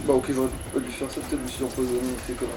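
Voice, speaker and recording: A man's voice: mid-range voice, level tone, very fast, over music. A man playing a video game and commenting casually in slangy, mildly crude language. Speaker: let's play gamer. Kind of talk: casual gaming chat while playing. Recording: distant mic with clear background noise.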